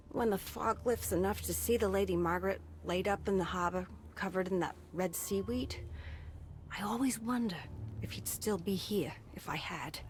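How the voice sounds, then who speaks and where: Tough tired small town mom in a game, new england accent, lowish voice, wry n guarded, bit of background noise. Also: female voice. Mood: wistful, resigned. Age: middle-aged. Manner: plain-spoken, wry, guarded. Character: tough, weary small-town mother with a shotgun. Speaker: video game character. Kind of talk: dramatic cutscene monologue.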